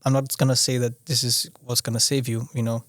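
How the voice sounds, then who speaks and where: A man's voice: medium pitch, flat delivery, brisk, a bit bright, no room sound, studio quality. A young man from Austria, a podcast guest talking casually, often restarting his sentences and leaning on "you know". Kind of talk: casual conversational interview.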